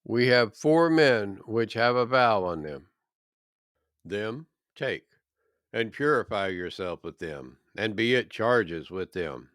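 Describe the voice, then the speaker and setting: Male scripture narrator, slow and solemn, emphatic phrasing, very dry recording. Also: medium pitch, fairly bright tone, smooth, dry recording. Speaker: scripture reader. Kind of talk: solemn scripted Bible reading.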